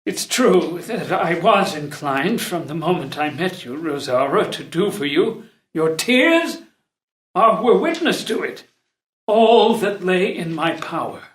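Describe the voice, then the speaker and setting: An actor playing a dignified, protective older courtier in a read-through of a classical play, speaking formally and deliberately. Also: male voice, high voice, big pitch swings. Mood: earnest, tender. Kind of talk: unrehearsed stage play reading. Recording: roomy echo.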